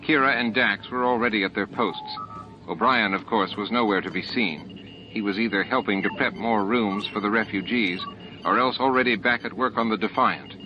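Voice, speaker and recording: A man's voice: on the low side, normal speed. A man reading a science fiction novel aloud as an audiobook narrator, with sound effects added behind him. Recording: dull lo-fi tape with clear background noise. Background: added sound effects and ambience.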